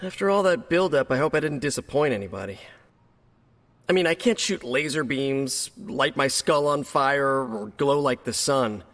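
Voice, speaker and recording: A man's voice: mid-range voice, expressive, normal speed, fairly bright tone, long reverb tail, natural voice. A man playing a young American superhero in a game cutscene, chatty, jokey and self-deprecating, with nervous earnest rambling. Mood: nervous, joking. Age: young adult. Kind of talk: video game cutscene acting.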